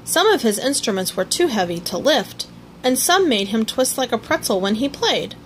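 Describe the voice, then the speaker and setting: A woman reading a children's story aloud in an expressive storytelling manner. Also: fairly high pitch, normal speed, a little hiss or hum. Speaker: storybook reader. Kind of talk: scripted children's story narration, read aloud.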